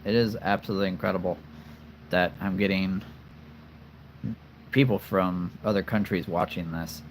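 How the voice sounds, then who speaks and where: Man, low voice, casual livestream host, rambling, earnest, faint background hiss. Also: fairly even intonation, normal speed, low-quality recording. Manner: rambling, earnest, many fillers and restarts. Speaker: collectible figure channel host.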